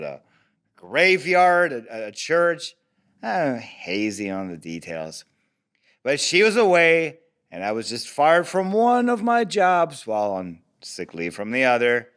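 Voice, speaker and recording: A man's voice: sits high, fairly bright tone, heavily acted. An actor playing a defensive, abusive deadbeat father under interrogation in an audio drama, evasive, rambling and profane. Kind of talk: audio drama table read.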